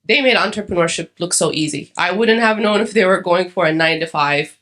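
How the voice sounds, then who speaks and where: A woman's voice: lowish voice, a little fast, a bit bright. Chatty woman speaker from Ethiopia. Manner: conversational, many fillers and restarts. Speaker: conference speaker. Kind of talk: conference talk. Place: conference hall.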